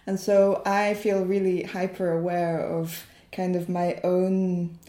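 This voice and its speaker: Woman, from Mexico, low flat mellow voice, thoughtful, roomy echo. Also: slow. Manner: thoughtful, searching for words, many fillers. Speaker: poet and translator. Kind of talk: casual interview chat.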